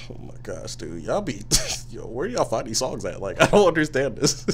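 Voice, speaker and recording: A young man talks straight into a studio microphone in a small room, riffing casually as he reacts. His delivery is slangy and excitable, and laughter keeps breaking into his speech. He sits at a mid-range pitch for a man, neither deep nor high. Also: moderate pace, a little hiss or hum. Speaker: music reaction YouTuber. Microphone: studio mic on a boom, headphones on.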